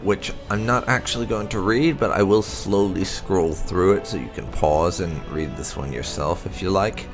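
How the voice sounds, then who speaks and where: A man hosting a gaming video, reading lore entries aloud in a theatrical, expressive way with occasional asides. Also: sits low, normal speed, hardly any pauses, music in the background.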